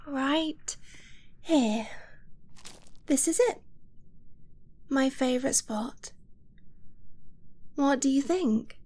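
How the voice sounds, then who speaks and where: A woman playing an affectionate, nervous dragon-blooded girlfriend in a romantic ASMR roleplay, soft and breathy, often slipping toward a whisper with gentle hesitation. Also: high voice, exaggerated voice. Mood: tender, playful. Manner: slow, gentle, hesitant, intimate.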